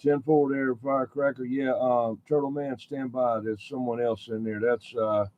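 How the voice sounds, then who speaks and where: A man's voice: medium pitch, flat delivery, normal speed. A man chatting casually as a CB radio operator, relaying calls in folksy radio lingo. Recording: tinny phone-like sound, clear background noise.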